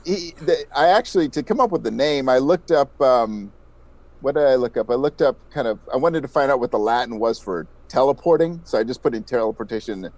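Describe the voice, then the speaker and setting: A middle-aged man speaks as a guest in a conversational interview. His pitch sits in the middle of the male range. He explains his craft as a voice actor with enthusiasm. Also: expressive, fairly quick, darkish tone, dry recording.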